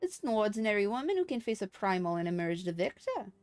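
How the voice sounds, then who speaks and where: A woman voicing a courtly, flattering military recruiting officer from a fantasy game, formal and earnest. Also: mid-range voice, fast talker, no echo, natural voice. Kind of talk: video game dialogue read aloud. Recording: game music behind the voice.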